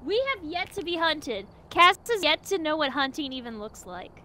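Streamer, woman, 20s, teasing and giggly, high-pitched. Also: big pitch swings, normal speed, sharp tone, a little hiss or hum. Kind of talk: casual gaming chat.

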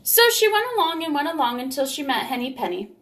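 Young female storybook reader; high voice, lively intonation, recorded at home. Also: fast talker. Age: young adult. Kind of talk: children's read-aloud, scripted narration. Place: small room at home.

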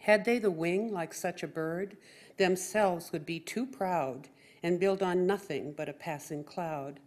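An older woman reading a poem aloud in a measured, deliberate way at a podium microphone in a meeting room. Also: lowish voice, darkish tone. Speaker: poetry reader. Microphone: gooseneck podium microphone.

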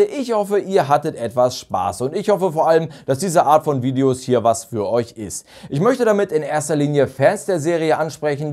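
Man, thirties, lively melodic delivery, fairly high voice, scripted review host. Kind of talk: scripted review commentary to camera. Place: small furnished room indoors. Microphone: lapel mic.

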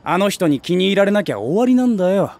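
An actor playing an ambitious, streetwise stray tomcat, a small-time gang big brother with brash, rough talk in a voice drama. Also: male voice, high-pitched, sing-song, quick pace, a little mellow. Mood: exasperated. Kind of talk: Japanese voice drama acting.

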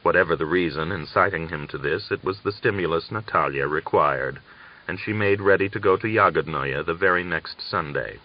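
A man reading a literary novel as an audiobook narrator, in an expressive storytelling style. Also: sits low, moderate pace. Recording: dull lo-fi audiobook recording.

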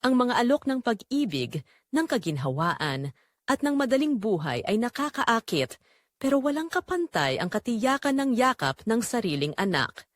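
A woman with a Filipino accent reads dramatic first-person scripted narration as a storyteller, in an emotional, expressive way. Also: a little high, moderate pace, no echo, studio quality.

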